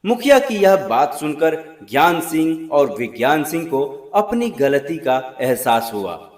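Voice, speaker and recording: Slow, animated male storyteller with echo. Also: fairly high pitch. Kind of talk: scripted moral story narration. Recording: roomy, echoing voice recording.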